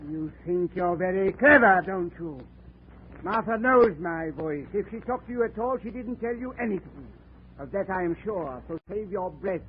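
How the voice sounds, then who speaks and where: An actor playing a smug, formal, indignant murder suspect in a 1940s radio drama. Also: male voice, high-pitched, animated, normal speed. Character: smug, paranoid murder suspect, a widower, pleading when cornered. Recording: noisy, tinny 1940s radio recording.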